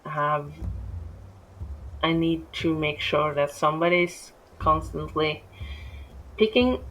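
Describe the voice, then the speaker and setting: Slow, gravelly Norwegian woman gaming. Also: low voice, fairly bright tone, rough recording. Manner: rambling, easily distracted, many restarts. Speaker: gameplay streamer. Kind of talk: casual let's-play commentary. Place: home.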